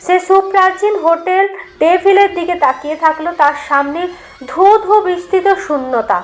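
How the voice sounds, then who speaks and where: A woman with a high voice narrates a ghostly tale as if for an audiobook. Her delivery is literary and dramatic, following a written script in a storyteller's way. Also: normal speed.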